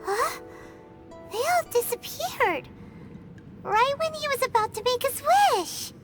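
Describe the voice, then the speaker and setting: Brief: tiny chatty fairy sidekick, very high, swooping voice, game score behind. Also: female voice, expressive, slow, full character voice. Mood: puzzled. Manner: bouncy, indignant retorts. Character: tiny floating female fairy companion, blunt and chatty. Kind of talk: video game voice acting. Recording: music playing under the voice.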